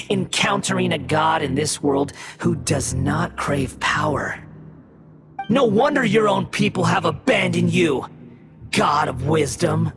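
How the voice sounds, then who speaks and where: Haughty, slow-spoken young god villain. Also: male voice, a little high, gravelly. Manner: haughty, theatrical, contemptuous. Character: arrogant young male puppet turned god, mocking, grandiose villain. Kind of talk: video game voice acting.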